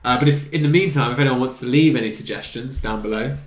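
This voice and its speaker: A man's voice: medium pitch, fast. Man, casual opinionated host, mid-range voice, echoey room recording. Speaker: host of a video game chat show. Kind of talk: casual opinionated discussion among friends. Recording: roomy, echoey indoor pickup.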